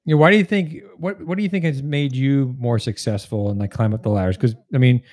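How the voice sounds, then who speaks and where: Very fast-talking American man interviewing. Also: mid-range voice, darkish tone. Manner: informal, rambling, self-rephrasing questions. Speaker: podcast host, interviewer. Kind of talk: casual interview questions.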